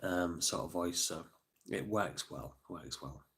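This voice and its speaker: A man's voice: low voice, slow, a bit bright. A middle-aged man hosting a casual live stream, a bit bunged up and sniffly, thanking viewers by name with plenty of ums. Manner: chatty, thanks viewers by name, many fillers. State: congested, sniffly.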